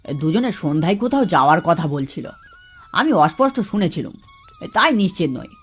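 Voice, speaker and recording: A woman's voice: lowish voice, sing-song, brisk, slightly rough, faint music behind. A woman playing a caring, plain-spoken young village grandson in a cartoon story, talking in a colloquial, nagging way. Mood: casual, unsure. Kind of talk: Bengali cartoon story acting.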